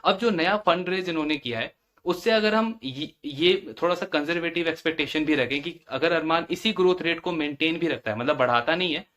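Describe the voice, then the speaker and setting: A man's voice: fairly high pitch, fairly quick, fairly bright tone. A man giving explanatory commentary as a financial analyst, going step by step and repeating phrases for emphasis. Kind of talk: explanatory earnings-call commentary.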